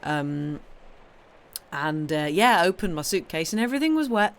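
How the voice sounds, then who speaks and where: A woman's voice: fairly low pitch, very animated, moderate pace. Rambling British woman chatting on a livestream. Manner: rambling, playful, self-interrupting. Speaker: livestream host and reader. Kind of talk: casual livestream chat.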